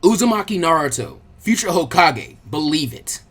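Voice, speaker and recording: A narrator voicing a brash, hot-headed young boy ninja in an audio story, loud, cross and defiant. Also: medium pitch, sing-song, normal speed, husky. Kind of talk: expressive fan fiction character reading.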